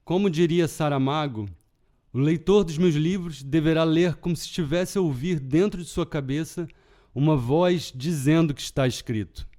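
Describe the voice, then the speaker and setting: A man with a Carioca accent that leans toward European Portuguese, host of a literary podcast, giving measured scripted narration. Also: fairly high pitch, mellow. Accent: Rio de Janeiro Portuguese with European Portuguese touches. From Brazil. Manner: measured, deliberate reading.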